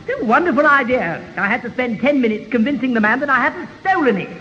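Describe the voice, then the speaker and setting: Prim, very high-voiced timid gentleman. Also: sing-song, quick pace, exaggerated voice. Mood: politely weary. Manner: formal, prim, apologetic. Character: timid, polite, long-suffering fussy gentleman. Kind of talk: radio sitcom acting. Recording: dull old radio recording in hall.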